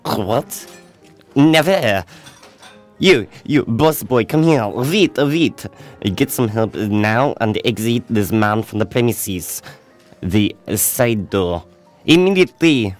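An actor playing a snooty, indignant restaurant head waiter, curt and imperious, in a radio drama. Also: male voice, medium pitch, lively intonation, slow, soft background music. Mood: outraged, dismissive.

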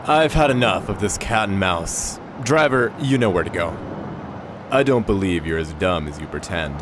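Deep-voiced scheming henchman played by a man. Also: animated, normal speed. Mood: menacing. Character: scheming, sinister male henchman of a senator. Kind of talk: science fiction radio drama acting. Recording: busy crowd and city noise behind.

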